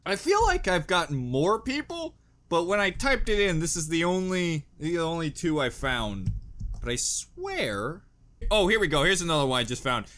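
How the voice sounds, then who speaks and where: A man's voice: fairly high pitch, sing-song, normal speed, a bit bright. A man hosting a reaction video with casual, joking, exaggerated and profane commentary.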